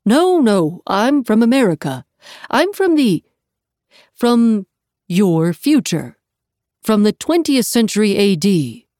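A woman playing a modest, bewildered American male professor, questioning and halting, in a storybook reading. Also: fairly low pitch, big pitch swings, darkish tone, no echo, studio quality. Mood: flustered.

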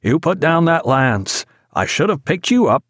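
A man's voice: mid-range voice, huge pitch swings, drawn-out words, fairly bright tone, dry recording, clean studio sound, heavily acted. A man voicing a hard-bitten whaling ship's master in an audiobook, anxious and awkwardly deferential. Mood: anxious pleading. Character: sparse hard-featured whaler master, awkwardly eager to please.

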